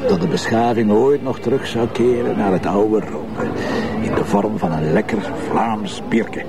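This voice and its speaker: Self-satisfied Flemish commissioner, male, gravelly, teasing, loud music behind, city street. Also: lowish voice, sing-song, a little slow, full character voice. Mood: relaxed, teasing. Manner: wry, self-satisfied, patronising. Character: relaxed, teasing police commissioner enjoying Rome, bossy bon vivant. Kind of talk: television crime drama acting.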